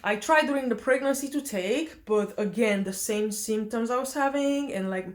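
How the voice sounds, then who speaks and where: A woman's voice: on the high side, normal speed. A young woman from Romania, chatting casually in a vlog, hesitant with many fillers and self-corrections. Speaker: vlogger.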